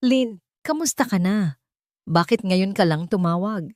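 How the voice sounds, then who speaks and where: A woman's voice: medium pitch, expressive, measured pace, no room sound, studio quality, natural voice. A Filipino woman voicing a meek, polite young provincial bride in a dramatic audio storybook. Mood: happy. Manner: polite, deferential.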